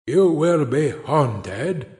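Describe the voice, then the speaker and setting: A man's voice: fairly high pitch, animated, extremely slow, a little mellow. A man voicing a mournful chained ghost. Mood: mournful warning. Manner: solemn, grieving declamation. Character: anguished chained ghost of an old businessman.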